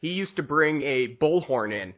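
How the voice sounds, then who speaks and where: A young man hosting a podcast, asking joking questions in a lively, casual way. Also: a little high, moderate pace. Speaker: podcast host. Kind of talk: casual chat. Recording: dull lo-fi sound.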